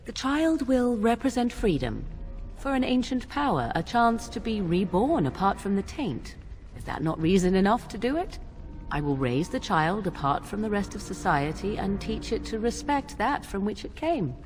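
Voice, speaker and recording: An actress playing an aloof, secretive young witch in a fantasy game, speaking in formal, archaic, deliberate phrases. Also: medium pitch, big pitch swings, darkish tone, soft background music, lightly acted. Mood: firm, defiant. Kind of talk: dramatic fantasy game dialogue.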